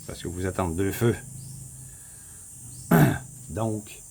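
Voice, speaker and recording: A man with a Québécois accent, casually walking viewers through a map on his screen, rambling, repeating himself and clearing his throat often. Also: sits low, very slow, a little mellow, slight background noise. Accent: Québécois French. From Quebec. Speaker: vlogger. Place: home in the Philippines.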